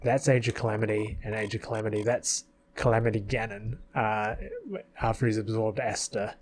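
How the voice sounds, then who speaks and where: A man's voice: fairly low pitch, level tone, normal speed, slight background noise. A middle-aged man giving casual, self-deprecating video commentary, his mouth partly numb. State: mouth partly numb after dental work. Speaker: video creator. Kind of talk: casual rambling commentary over a film edit. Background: background music.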